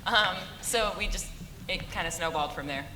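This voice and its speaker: A woman's voice: on the low side, moderate pace. A woman writer speaking casually on a convention panel, rambling and laughing often. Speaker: writer on an animation team panel. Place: large convention hall. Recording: echoey hall, faint room noise.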